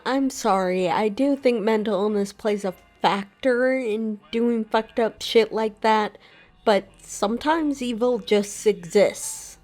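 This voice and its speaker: A woman's voice: a little high, natural voice. A woman voicing an earnest young wanderer who asks a lot of questions, in a game dialogue. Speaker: player character. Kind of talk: fan-voiced game dialogue.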